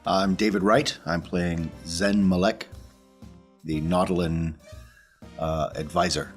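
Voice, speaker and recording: A man with a deep voice talks as a tabletop game player. His delivery is casual, and faint music plays behind him. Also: fairly even intonation, slow. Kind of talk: casual character introduction and table talk.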